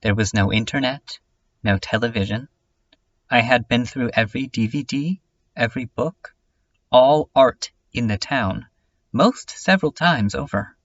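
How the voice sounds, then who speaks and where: A man playing a lonely, despairing last survivor, narrating a bleak diary in a halting, confessional way. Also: mid-range voice, dull audio. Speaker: narrator of a horror comedy fan dub. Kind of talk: slow first-person scripted narration.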